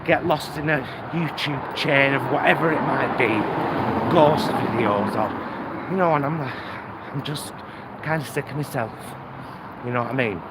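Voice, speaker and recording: A man's voice: medium pitch, measured pace. A British man talking casually to a vlog audience while out walking, in a reflective, hesitant, self-deprecating way. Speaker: vlogger. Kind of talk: casual walk-and-talk vlog. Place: outdoors, walking along streets. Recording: windy outdoor recording, loud traffic noise. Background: wind on mic, vehicles, urban ambience.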